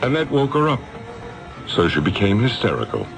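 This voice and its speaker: An American man playing a smooth, evasive, callous company boss in a 1970s radio drama, with a glib, patronizing manner. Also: fairly low pitch, wildly swooping pitch, normal speed, heavily acted. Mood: callous, casual. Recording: vintage radio drama with music.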